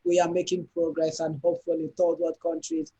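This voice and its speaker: A man's voice: on the high side, very animated, a little fast, vocal fry, mellow, poor audio. A man hosting an interview, introducing his guest with enthusiasm and praise. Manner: enthusiastic, complimentary. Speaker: host. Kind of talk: interview host.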